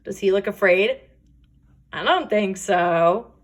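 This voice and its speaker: A woman voicing rough, menacing hunters in a children's storybook with a growly, chanting delivery. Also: medium pitch, takes it slowly. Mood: gruff. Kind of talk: children's storybook read-aloud.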